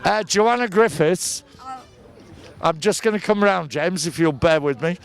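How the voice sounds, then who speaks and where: Northern english bloke, slightly high voice, joking around interviewing people outside at a market. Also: male voice, measured pace, creaky. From northern England. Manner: jokey, bantering. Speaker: host interviewing visitors at an outdoor market. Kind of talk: casual street interviews. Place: outdoors at an urban market.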